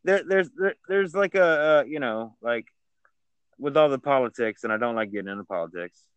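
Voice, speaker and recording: Laid-back male podcast host, mid-pitched, dry close sound, stammers and repeats words. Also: expressive, fairly quick, clear, resonant tone, no room sound, highs cut off. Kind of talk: casual chat.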